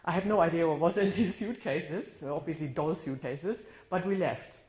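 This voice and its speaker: A woman's voice: on the low side, normal speed, darkish tone. A woman from northern Germany with a German accent, giving a candid, reflective talk about her life at a church, correcting herself now and then. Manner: candid, reflective storytelling with occasional self-corrections. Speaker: guest speaker. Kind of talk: personal testimony talk. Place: church hall. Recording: roomy church hall sound.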